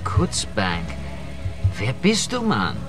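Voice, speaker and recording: A scornful, suspicious drug dealer. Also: male voice, on the low side, wildly swooping pitch, slow, music in the background, full character voice. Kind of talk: radio drama acting.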